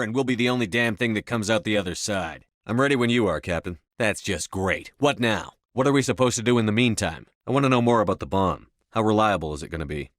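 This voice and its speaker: An actor playing a tough, decisive space-marine commander in a video game, speaking in a clipped, authoritative military manner. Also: male voice, on the low side, a bit bright, no room sound, clean studio sound, lightly acted. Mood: commanding. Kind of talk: video game dialogue lines.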